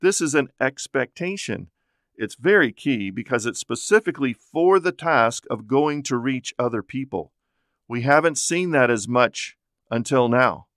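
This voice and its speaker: A man's voice: medium pitch, expressive, unhurried, fairly bright tone, no room sound, clean studio sound. A man giving a conversational, explanatory Bible teaching talk as a podcast host.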